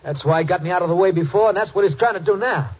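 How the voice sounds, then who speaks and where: A man's voice: sits high, racing, breathy edge. An actor playing a young American ex-convict suspect who protests his innocence in a folksy, hesitant, pleading way, in 1950s radio drama style. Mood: indignant, pleading. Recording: muffled vintage radio recording.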